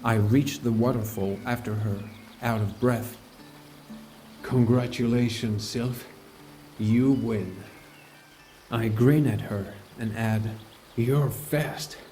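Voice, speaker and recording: A man playing a calm, caring fantasy adventurer and mentor, narrating his journey in a measured, earnest first person for an audiobook. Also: medium pitch, faint music behind, natural voice. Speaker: narrator and lead character.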